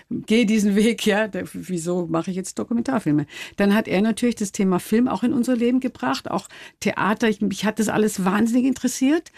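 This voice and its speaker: A woman's voice: mid-range voice, darkish tone, no room sound. Older woman storyteller, close dry sound. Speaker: interview guest, documentary filmmaker. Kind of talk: reflective personal storytelling in an interview.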